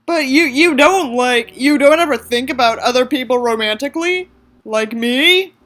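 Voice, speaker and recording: A woman voicing a lovesick, sullen teenage boy with a halting, awkward delivery in a story reading. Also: high voice, fairly bright tone. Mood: hesitant, hopeful.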